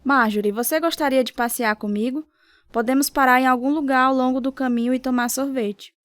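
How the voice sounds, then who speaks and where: A woman's voice: sits high, fairly even intonation, a little fast, no room sound, soft background music, lightly acted. A woman playing a firm, caring young church friend, devout and direct, in a radio drama. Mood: friendly, firm.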